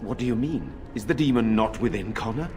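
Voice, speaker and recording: A man voicing a noble lord in a video game, formal and measured in the way he speaks. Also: on the low side, expressive, lightly acted. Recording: clear game music behind.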